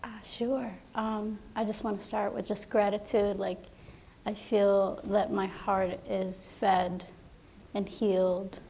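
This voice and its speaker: Woman from somalia, halting, filler-heavy, soft, unhurried, bit of vocal fry. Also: medium pitch, level tone. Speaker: playwright and panel guest. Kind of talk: reflective personal sharing.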